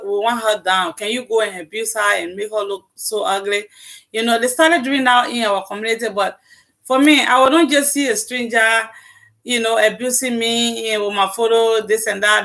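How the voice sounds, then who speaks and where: A woman's voice: fairly high pitch, fairly bright tone. A woman from Africa with an African accent, chatting earnestly on her live stream and laughing often. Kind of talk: casual chat on a live stream.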